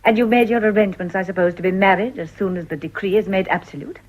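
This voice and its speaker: An actress playing a shrewd, composed middle-aged mother in a period radio drama, with measured, probing upper-class English speech. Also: mid-range voice, close to an everyday voice. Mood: shrewd, firm. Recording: dull, lo-fi radio recording.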